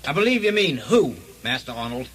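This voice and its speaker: A man's voice: medium pitch, sing-song, fairly quick, fairly bright tone, breathy edge. A man playing a polite, pedantic, obtuse servant messenger in a comic radio play. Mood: obliging, pedantic. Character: literal-minded, pedantic servant messenger, obliging and maddening. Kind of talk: comic radio drama acting.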